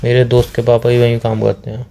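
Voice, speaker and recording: Man acting young boyfriend, mellow voice, mid-pitched, suspicious, sarcastic, probing, phone-call drama. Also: monotone, quick pace, vocal fry, close to an everyday voice. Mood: accusing, sarcastic. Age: young adult. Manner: probing, sarcastic, repeats questions. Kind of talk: acted phone-call argument between a couple.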